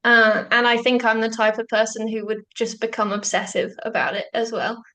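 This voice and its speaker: A woman's voice: on the high side, little pitch movement, moderate pace. A woman hosting an interview, chatty and curious, filling her questions with "like" and laughter. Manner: warm, chatty, lots of "like" and laughter. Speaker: podcast host. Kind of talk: casual interview chat.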